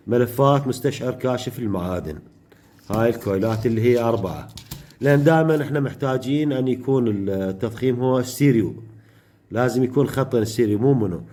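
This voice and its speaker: A man with an Iraqi Arabic accent teaching an electronics workshop step by step, pausing often mid-phrase to find the next word. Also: medium pitch, a little mellow, slight background noise. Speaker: electronics tutor.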